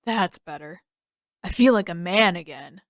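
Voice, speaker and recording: A woman's voice: fairly low pitch, animated, unhurried, no echo, studio quality. Woman voicing a whiny, indignant teenage boy. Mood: whiny, grumbling. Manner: whining, sputtering protests.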